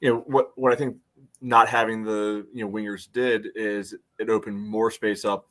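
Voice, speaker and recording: An American man co-hosting a sports podcast, analysing soccer casually in a halting, conversational way. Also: on the low side, little pitch movement, fairly bright tone.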